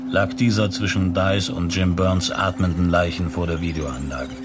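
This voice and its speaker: A man's voice: low voice, fairly even intonation, normal speed, noisy background, close to an everyday voice. A man acting as the detached storyteller of a science fiction radio play, matter-of-fact and sober in his delivery. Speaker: narrator. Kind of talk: scripted radio play narration. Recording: 1970s radio play with music behind.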